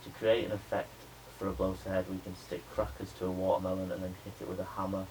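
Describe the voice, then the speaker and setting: A young adult man, a media student giving a spoken pitch presentation to a class. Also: completely flat delivery, fast talker, a little mellow. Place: indoor room with a small audience. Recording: noisy room recording.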